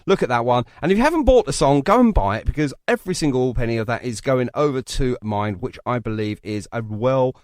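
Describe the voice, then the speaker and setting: A man's voice: medium pitch, lively intonation, fairly quick, hardly any pauses, no room sound, studio quality. A middle-aged man hosting a chatty music interview, talking in an enthusiastic, rambling way. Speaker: radio and podcast host.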